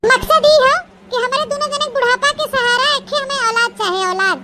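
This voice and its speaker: A woman's voice: very high voice, fairly quick, sharp tone, soft background music. A woman voicing a domineering, scheming first wife in a comic cartoon, with an Awadhi accent and a taunting, emphatic manner. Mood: scornful, commanding. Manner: bossy, taunting, emphatic repetition. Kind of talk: comic cartoon family drama. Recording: very noisy cartoon voice track.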